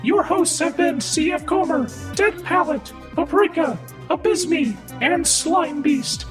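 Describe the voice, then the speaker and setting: A woman's voice: very high-pitched, takes it slowly, faint music behind. A woman announcer reading scripted closing credits for a podcast. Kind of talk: scripted outro narration.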